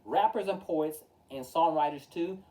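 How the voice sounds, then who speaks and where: A man reading a rhyming children's picture book aloud with rhythmic, emphatic, expressive delivery. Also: on the high side, normal speed. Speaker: storytime reader. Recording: distant from the mic.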